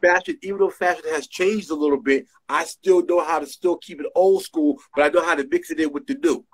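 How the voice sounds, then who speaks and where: A man's voice: on the high side, brisk, slightly rough. A middle-aged man with a New York area accent, a designer talking as a guest on a phone video call, using street slang and repeating himself emphatically. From New Jersey, USA. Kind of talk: casual interview on a video call. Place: small room indoors. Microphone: phone front camera.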